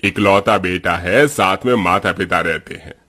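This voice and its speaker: A polite priest and matchmaker in a cartoon story, voiced by a man. He speaks in a lowish, obliging voice, as if presenting a good offer. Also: measured pace, sharp tone, exaggerated voice.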